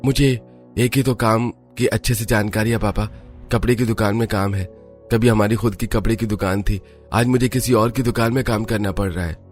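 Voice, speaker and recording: Earnest low-voiced dutiful husband, acted by a man. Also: fairly even intonation, a little fast, soft background music, lightly acted. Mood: resigned, earnest. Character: hard-working, dutiful adult son and husband.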